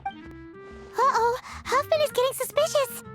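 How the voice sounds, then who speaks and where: A woman voicing an excitable, childlike little fairy companion in a video game, squeaky and exclamatory. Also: unhurried. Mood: panicked. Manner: exclamatory, refers to self in third person. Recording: loud music behind.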